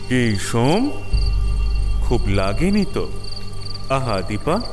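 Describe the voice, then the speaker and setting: A man's voice: medium pitch, sing-song, slow pace. A man playing a smug young villain in an audio drama, taunting as he explains himself. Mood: mocking. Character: smug, mocking young male villain betraying his friend. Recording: voice over prominent background music.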